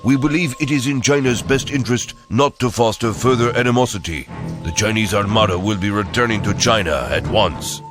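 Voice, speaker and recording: A man's voice: fairly low pitch, brisk, over music, natural voice. A man playing a proud, formal military envoy in a video game cutscene, speaking in a stiff, pompous manner. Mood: cold refusal.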